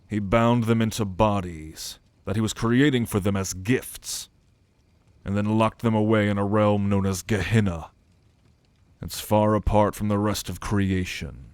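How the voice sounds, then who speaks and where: A man's voice: on the low side, darkish tone, clear, resonant tone, no echo. A man playing an ancient, haughty, brooding immortal son of a god in a fantasy audio roleplay, speaking formally and solemnly with disdain.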